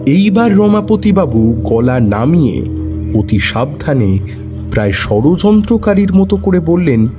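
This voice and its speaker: A man's voice: fairly high pitch, sing-song, unhurried. A man narrating a detective story in the first person, with a wry, dryly humorous manner. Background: faint background music.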